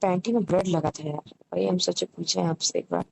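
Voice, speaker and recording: A woman's voice: fairly low pitch, fairly even intonation, a little fast, creaky, a little mellow, a little hiss or hum, rough recording. Woman chatting casually on the phone. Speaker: caller. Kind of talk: casual private phone call.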